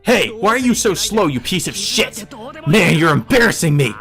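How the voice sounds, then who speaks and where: A man voicing an arrogant young male villain mage from a visual novel, with a high-pitched, taunting voice. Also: very animated, normal speed, vocal fry, fairly bright tone, soft background music, heavily acted. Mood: enraged, yelling. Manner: taunting, scornful. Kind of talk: visual novel character dub.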